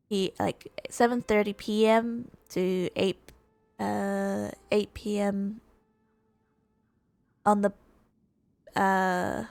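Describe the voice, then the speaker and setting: A woman livestreamer chatting casually during a game, halting and often rephrasing herself. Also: mid-range voice, a little mellow. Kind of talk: casual gaming livestream chat. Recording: roomy, music under the voice.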